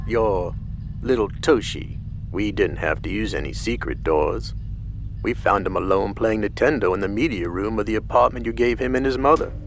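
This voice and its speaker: Gravelly, menacing mastermind played by a man. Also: fairly low pitch, a little hiss or hum, natural voice. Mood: taunting, smug. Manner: deliberate, taunting, mock-polite. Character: menacing, coldly sadistic male mastermind of a covert group. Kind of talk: audio drama acting.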